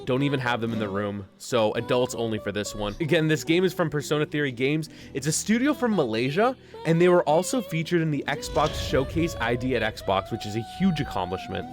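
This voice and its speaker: A man reviewing a video game in a semi-scripted voice-over, speaking in a casual, conversational and enthusiastic way. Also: mid-range voice. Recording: music under the voice.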